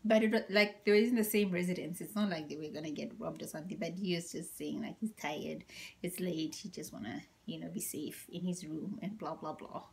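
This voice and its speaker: A young woman telling a story in a casual, animated way, acting out quotes and dropping into whispered asides, her voice deep and tired after a workout. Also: brisk, creaky. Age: young adult. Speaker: vlogger.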